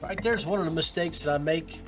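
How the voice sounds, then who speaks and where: Guy podcast host, kinda high voice, casual tips for viewers, bit halting. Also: music in the background. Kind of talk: casual instructional talk to viewers.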